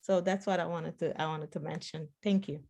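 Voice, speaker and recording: Portuguese-born woman with a mellow voice. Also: sits low, a little fast, vocal fry. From Portugal and Spain. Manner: animated, laughs while telling a story. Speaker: political science professor. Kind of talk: workshop discussion over video call. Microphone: video call.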